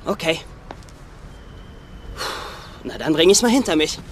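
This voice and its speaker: An actor playing a sullen, defensive young runaway gambler in a dubbed German series. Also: male voice, fairly high pitch, very animated, measured pace. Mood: resigned. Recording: music behind the voice.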